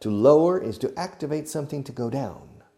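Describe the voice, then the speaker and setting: A man teaching a beginner English lesson, explaining slowly and acting out each phrase, with short glosses in another language. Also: medium pitch, animated, gravelly, warm tone. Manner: slow, deliberate, demonstrative. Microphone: video recording.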